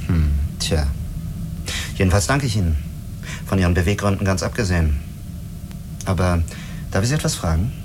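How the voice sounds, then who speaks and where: A man's voice: low-pitched, animated, normal speed, pauses often, noisy background. A man acting as a spaceship commander in a German radio drama, cautious and courteous, asking probing questions in a thoughtful, hesitant way. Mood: curious, probing.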